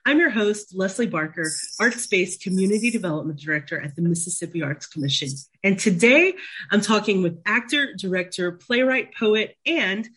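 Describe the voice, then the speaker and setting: A woman's voice: medium pitch, animated, normal speed, slightly rough. A woman hosting a radio arts program, introducing her guest and asking questions as an attentive interviewer. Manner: attentive interviewer, soft affirming murmurs. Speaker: radio show host.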